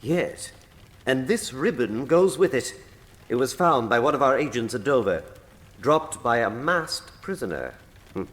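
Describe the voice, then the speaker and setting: Cardinal for radio mystery: composed, worldly, formal delivery, expressive pitch, long reverberant space. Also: male voice, measured pace. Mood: grave. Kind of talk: radio drama acting.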